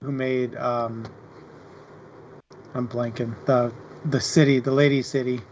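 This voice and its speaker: Slow-talking man on a muffled mic. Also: medium pitch, little pitch movement, darkish tone, a little hiss or hum. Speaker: book club podcast co-host. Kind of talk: casual book discussion.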